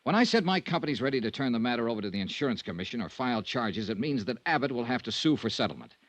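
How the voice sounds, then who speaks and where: An American actor playing a tough, level-headed insurance investigator in vintage radio noir style, clipped and plain-spoken. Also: male voice, medium pitch, big pitch swings, racing, dry recording. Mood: firm, persuasive. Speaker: lead actor and narrator. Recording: dull, dry vintage radio recording.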